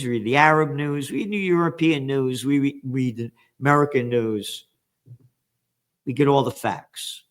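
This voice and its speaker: An older man hosting a news commentary, reading headlines and ranting with angry, sarcastic, profane remarks that swing from low mutters to shouts. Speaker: trends commentator and host.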